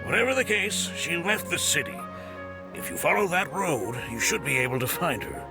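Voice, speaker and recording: Game NPC: watchful guard, creaky voice with lively pitch, music behind. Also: male voice, moderate pace, poor audio. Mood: dutiful, informative. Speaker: video game character voice. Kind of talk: scripted game dialogue.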